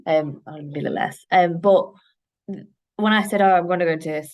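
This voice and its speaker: A woman's voice: on the low side, moderate pace, a little mellow. A woman in her late thirties with a Yorkshire accent, speaking frankly and directly as a guest in a podcast interview, with frequent fillers. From Yorkshire, England. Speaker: guest, head of operations. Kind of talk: podcast interview, casual chat.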